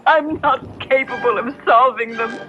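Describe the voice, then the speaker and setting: A woman's voice: a little high, unhurried, close to an everyday voice. Lady playing a worked up prim wife, middle-aged, old radio drama acting, muffled sound w/ music. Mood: indignant fear. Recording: dull vintage radio broadcast with music.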